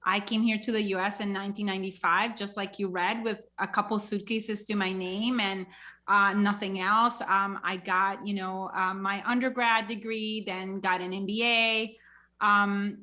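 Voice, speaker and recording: A woman's voice: medium pitch, flat delivery, normal speed, pushed and bright. A woman from Honduras, a guest on a podcast, telling her story in an easygoing way with lots of fillers. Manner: many fillers like um and you know. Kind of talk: casual interview storytelling.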